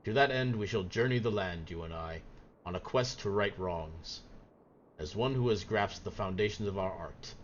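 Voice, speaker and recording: A man's voice: sits low, moderate pace, lo-fi sound, slight background noise, close to an everyday voice. A man voicing a solemn samurai master from a video game, speaking in grave, archaic and formal phrases. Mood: solemn, measured. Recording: game music behind the voice.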